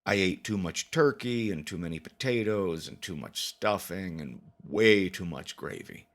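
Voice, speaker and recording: A man telling a first-person story as a dramatic confession, with deliberate pauses and mock-grave, theatrical emphasis. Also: deep voice, animated. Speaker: storytelling podcast narrator.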